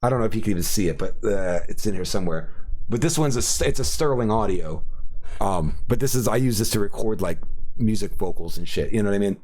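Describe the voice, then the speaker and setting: A man's voice: lowish voice, expressive, quick pace, darkish tone, poor audio. A man hosting a casual podcast, rambling with lots of fillers and restarts and plenty of swearing. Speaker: podcast host. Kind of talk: casual chat.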